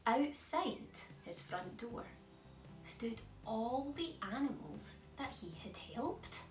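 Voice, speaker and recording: A woman narrating a children's storybook as a storytime presenter, expressive and speaking to young listeners. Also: on the high side, takes it slowly. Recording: echoey room, distant mic, music behind.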